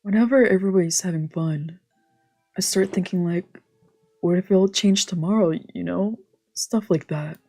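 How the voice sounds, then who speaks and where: Woman voicing a husky, wistful androgynous young man. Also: fairly low pitch, warm tone. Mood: anxious, hesitant. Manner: casual, confiding, halting. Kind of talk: reading visual novel dialogue aloud. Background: game music.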